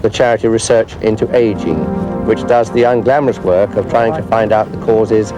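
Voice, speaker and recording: Low voiced guy, club organiser explaining stuff, music playing behind him. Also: fairly even intonation, normal speed, poor audio. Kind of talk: interview explanation in a TV feature. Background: background music.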